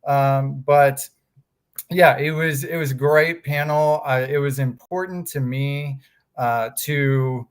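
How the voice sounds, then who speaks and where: A man's voice: mid-range voice, steady tone, takes it slowly, clear, resonant tone. A man from Texas in his thirties, speaking, talking casually in an interview with many fillers and restarts. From Texas, USA. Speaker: guest, fraud examiners association staff.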